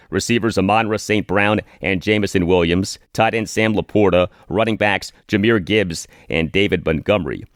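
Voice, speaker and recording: A man with a fairly low voice hosts a sports podcast in a dry, close recording. He lays out stats and analysis in a deliberate, formal manner. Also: no echo.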